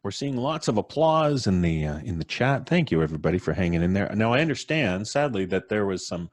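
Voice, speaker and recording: A man's voice: on the low side, very animated, a little fast, no echo. A man hosting a live show, chatting casually and genially with his audience and colleagues. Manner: genial, appreciative.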